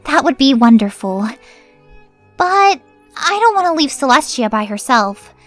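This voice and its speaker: A sweet young pony princess, played by a woman, speaks in a high voice over background music. She sounds earnest and considerate, a caring friend in a cartoon-style audio drama. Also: very animated, a little slow, fairly bright tone, exaggerated voice. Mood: concerned, sweet. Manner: earnest, solicitous. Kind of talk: scripted fan audio drama acting.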